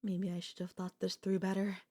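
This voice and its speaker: A woman voicing an obsessive yandere sister-in-law in an ASMR audio roleplay, sweetly menacing, coaxing and chatty, calmly unapologetic. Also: medium pitch, a little fast, close to an everyday voice. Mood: cheerful, smug.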